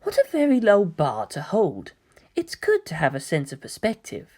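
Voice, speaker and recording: A woman voicing a brooding, grandiose young male antagonist in a story reading, speaking in a hushed, grave manner. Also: on the low side, wildly swooping pitch, moderate pace, husky, heavily acted. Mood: scornful, hushed. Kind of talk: dramatic audiobook character reading.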